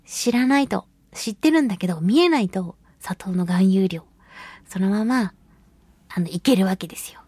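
A woman hosting a casual solo radio talk and reading listener mail, chatty, giggly and expressive, with a slightly breathy voice. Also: mid-range voice, moderate pace, no echo. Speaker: radio show host and voice actress.

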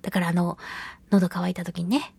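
A woman hosting a casual solo radio talk and reading listener mail, chatty, giggly and expressive, with a slightly breathy voice. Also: lowish voice, normal speed. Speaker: radio show host and voice actress.

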